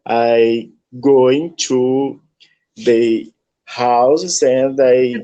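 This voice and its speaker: A man with a Brazilian Portuguese accent who speaks Portuguese natively, halting and searching for words as a student in an online English lesson over a video call. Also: mid-range voice, fairly even intonation, warm tone, highs cut off.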